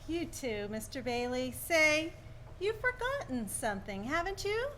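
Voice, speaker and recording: Woman as high, lilting bank teller. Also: sing-song, unhurried, sharp tone, a little hiss or hum, full character voice. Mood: polite, puzzled. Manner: polite, questioning. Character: polite, amused female bank teller. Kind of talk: 1940s radio play acting.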